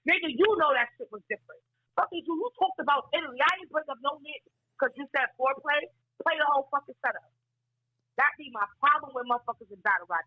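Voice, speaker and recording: A soft-spoken woman, a battle rapper giving an interview, talking bluntly with lots of swearing and boasting. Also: high voice, dry recording, lo-fi sound. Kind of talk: candid interview talk.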